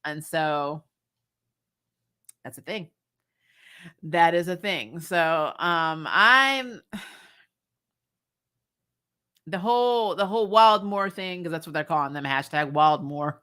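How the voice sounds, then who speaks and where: A woman's voice: lowish voice, slow, long pauses, bright, forceful tone, clear, resonant tone. A woman chatting casually as host of a recap stream, blunt, sarcastic and emphatic, swearing freely and sounding exasperated. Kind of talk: casual opinionated livestream review chat.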